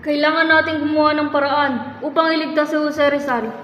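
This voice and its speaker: A woman's voice: high voice, fairly even intonation, a little fast, muffled recording, close to an everyday voice. A woman playing a fervent, distraught young patriot in an amateur radio drama. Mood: fervent, defiant. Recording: echoey hall, clear background noise.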